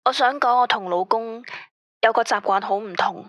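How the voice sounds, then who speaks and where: A woman's voice: a little high, moderate pace, a bit bright, no echo, clean studio sound, lightly acted. A woman playing a tired, uneasy married caller to a late-night radio show in a radio drama, speaking guardedly in Hong Kong Cantonese. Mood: weary, hesitant. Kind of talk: radio drama phone-in dialogue.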